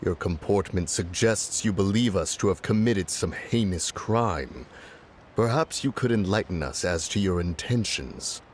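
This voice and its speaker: An actor playing an ancient deer spirit in human guise who speaks in a pompous, archaic formal way, in a video game. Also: male voice, low voice, lively intonation, measured pace, slight background noise. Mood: lofty.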